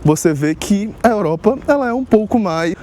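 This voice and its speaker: A man's voice: high-pitched, expressive, normal speed, a little mellow. A young man from Salvador, casually explaining to his vlog audience in a direct, conversational way. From Salvador, Northeast Brazil. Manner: conversational, direct address with rhetorical asides. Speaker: vlogger on life abroad. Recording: street noise behind.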